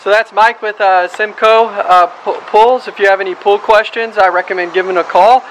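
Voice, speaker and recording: A man's voice: high voice, fairly even intonation, moderate pace, fairly bright tone, slight background noise, poor audio. A man, a home inspector, hosts and asks short, casual questions outdoors in a backyard.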